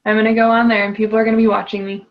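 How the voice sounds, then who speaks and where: A woman's voice: fairly high pitch, level tone, rapid-fire, lo-fi sound. A woman client repeating tapping phrases after her coach and hesitantly describing her nervousness. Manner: hesitant, searches for words.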